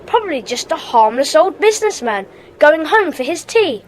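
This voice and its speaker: A woman's voice: high voice, sing-song, moderate pace. A woman playing a sensible, sceptical schoolboy in a detective gang, reasoning matter-of-factly in a children's audio drama. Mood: sceptical, musing.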